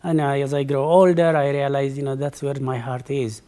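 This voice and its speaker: A man's voice: mid-range voice, little pitch movement, moderate pace, warm tone. A middle-aged man from Ethiopia, a guest in a conversational interview, who answers thoughtfully with frequent fillers and restarts.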